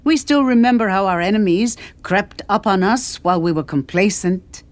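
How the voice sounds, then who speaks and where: A woman voicing an aged, cheerful, mischievous historian with authority, her voice a little cracked and weary, telling her tale in measured, oratorical phrases. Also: mid-range voice, no room sound, close to an everyday voice. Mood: wry, weary.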